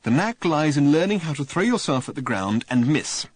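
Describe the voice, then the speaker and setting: A man's voice: fairly high pitch, sing-song, moderate pace, no room sound, voice pushed up. A man reading an audiobook, voicing a restless, eager traveller who speaks earnestly and with emphasis.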